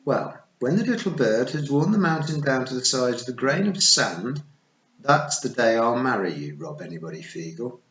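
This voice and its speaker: A man's voice: mid-range voice, animated, moderate pace, fairly bright tone, pitched above the performer's own voice, lightly acted. A man voicing a determined, practical young girl with a firm, matter-of-fact manner. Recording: echoey, slightly dull.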